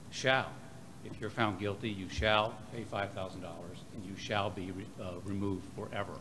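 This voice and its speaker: A man giving an emphatic public comment at a city meeting, with a booming delivery. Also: bright, forceful tone. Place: city council chamber. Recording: noisy public meeting audio.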